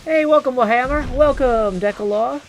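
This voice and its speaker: A man's voice: very high voice, moderate pace. A man hosting a livestream, casually commenting on a game and thinking aloud with some hesitation. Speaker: livestream host. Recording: faint background noise.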